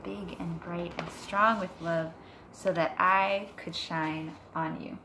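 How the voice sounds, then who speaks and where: Woman in her 30s doing a gentle storybook read-aloud at home, slightly low voice, bit of background noise. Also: fairly even intonation, slow. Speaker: teacher reading aloud to pupils. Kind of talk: picture book read-aloud. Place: living room at home, on a couch. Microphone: device camera a short distance away. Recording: noticeable room background noise.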